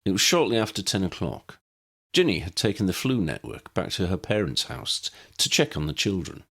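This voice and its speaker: A man's voice: deep voice, a bit bright. A man reading a scripted story as an audiobook narrator.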